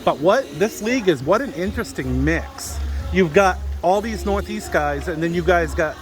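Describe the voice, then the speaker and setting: A man's voice: high voice, animated, moderate pace. A man asking questions in a casual, chatty sports interview. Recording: outdoor recording with music behind.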